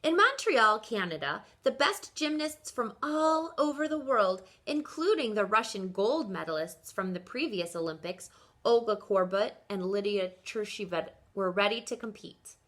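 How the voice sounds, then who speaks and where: A woman reading a children's picture book aloud like a teacher, with expressive, deliberate storytelling emphasis. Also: medium pitch.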